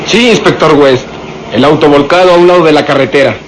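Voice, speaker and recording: A deferential police assistant played by a man in a radio drama, with a somewhat high male voice. He speaks respectfully and obediently, as to an admired superior, over faint recording noise. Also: a little fast, close to an everyday voice.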